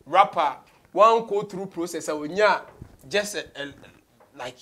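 A man's voice: high voice, expressive, unhurried, fairly bright tone. A Ghanaian man in his thirties hosting a casual interview, slipping between English and Twi. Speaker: radio show host, interviewer. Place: small radio studio office, seated at a desk.

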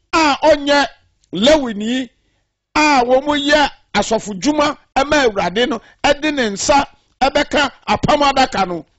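A man with a Ghanaian accent, preaching a radio sermon and reading scripture aloud in a measured way. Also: extremely high pitch, fairly bright tone, no echo, dull audio. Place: radio studio.

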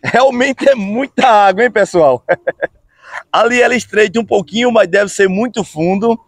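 A man in his forties with an unusually high voice narrates his own vlog outdoors on a bridge. He talks in an upbeat way, stretches his phrases and keeps adding little tags to the viewers. The sound is dry and close, from a phone held at arm's length. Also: no room sound. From Brazil. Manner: upbeat, drawn-out phrases, frequent "hein, pessoal?" tags. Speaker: channel host. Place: outdoors on a road bridge over a river. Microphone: phone camera at arm's length.

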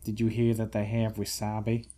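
A man's voice: on the low side, monotone, normal speed, background noise. A young man co-hosting a casual pool game video, teasing in a mock-formal manner. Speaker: gamer co-host. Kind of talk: casual gaming chat.

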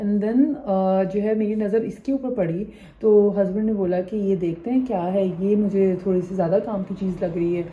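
A woman's voice: mid-range voice, dull audio. A young woman, chatting casually to her phone camera for a vlog and mixing in English phrases. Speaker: vlogger. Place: outdoors on a bus in city traffic. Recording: loud traffic noise behind.

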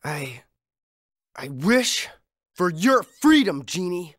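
An actor playing a brave, kind young street-urchin hero in a video game, youthful and heartfelt. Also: male voice, high-pitched, big pitch swings, takes it slowly, fairly bright tone, no echo, studio quality, full character voice. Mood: subdued, sincere. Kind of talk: animated video game acting.

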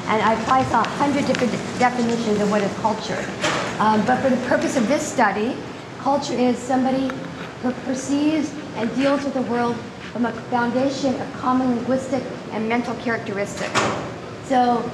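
A woman's voice: fairly high pitch, fairly quick, background noise. A middle-aged woman giving a lecture with slides in a conference room, talking conversationally with frequent ums and restarts. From United States. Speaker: guest speaker. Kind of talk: lecture presentation. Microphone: lectern mic, later handheld.

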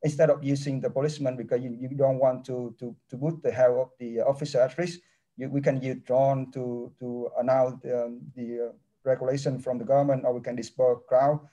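Halting, mellow-voiced professor on a video call. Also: male voice, medium pitch, level tone, highs cut off. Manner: halting non-native English with many restarts. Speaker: engineering professor. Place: office with large window. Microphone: laptop webcam mic on a video call.

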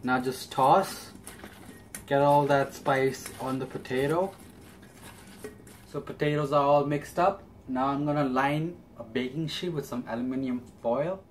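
A man's voice: medium pitch, steady tone, measured pace, a little hiss or hum. A young man hosting a cooking channel, walking through a recipe step by step in a friendly, upbeat way in a small home kitchen. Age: young adult.

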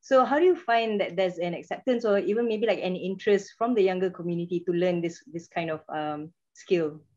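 A woman's voice: fairly low pitch, brisk, few pauses, a little mellow. A young adult woman moderating a video call Q&A, asking questions hesitantly with many fillers. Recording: dull lo-fi video call audio.

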